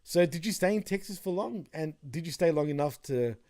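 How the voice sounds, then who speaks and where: A man's voice: a little high, lively intonation, brisk, a little mellow. An Australian man co-hosting a live podcast in a laid-back, chatty way, laughing often and reading chat comments aloud. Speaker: podcast co-host.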